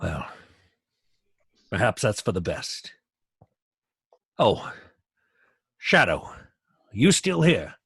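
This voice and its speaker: Actor as husky, low police commissioner. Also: male voice, sing-song, extremely slow, pauses often, fairly bright tone, no room sound, clean studio sound, full character voice. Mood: relieved, cordial. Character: authoritative male police commissioner. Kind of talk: 1940s radio drama acting.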